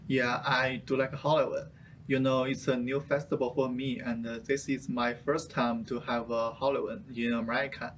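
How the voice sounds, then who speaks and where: A young man from China, a student answering interview questions in a halting way. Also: mid-range voice, flat delivery, a little hiss or hum. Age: young adult. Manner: halting, searching for words.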